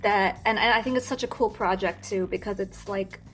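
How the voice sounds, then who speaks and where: A woman's voice: medium pitch, brisk, fairly bright tone, faint music behind, poor audio. A young American woman chatting as a guest singer in an interview, talking with lots of fillers, restarts and giggles. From United States. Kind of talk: casual interview chat.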